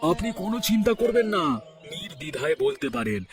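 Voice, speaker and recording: A man voicing a high-pitched, blustering village father. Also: sing-song, faint music behind. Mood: eager. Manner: blunt, quick to bluster. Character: stubborn, penny-pinching village father. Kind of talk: cartoon voice acting.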